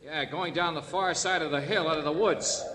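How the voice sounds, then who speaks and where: Brisk, wry radio detective man. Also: fairly high pitch, bright, forceful tone. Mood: urgent, commanding. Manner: clipped, informal, commanding, wisecracking. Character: brisk, tough, wry male private detective. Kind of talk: 1940s radio drama acting. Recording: old radio recording with faint noise.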